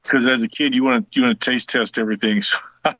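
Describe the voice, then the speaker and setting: An American man from Southern Illinois talks casually as a guest. His pitch is average for a man. The recording is dry, with hardly any echo. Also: fairly even intonation, quick pace, pushed and bright. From Southern Illinois, United States. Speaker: guest, survival class teacher. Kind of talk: casual chat.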